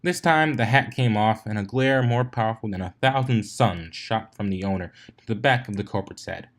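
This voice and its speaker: A man's voice: on the low side, normal speed. A man narrating a story expressively, occasionally stumbling over a word and correcting himself. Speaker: fan fiction reader. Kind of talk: narrated story reading with character voices.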